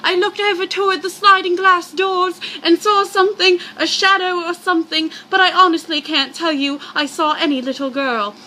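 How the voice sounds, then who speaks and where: A woman voicing a flustered wife who recounts a frightening night in an animated, put-on high voice for a story. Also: normal speed, few pauses, slight background noise, rough recording. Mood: flustered, worried.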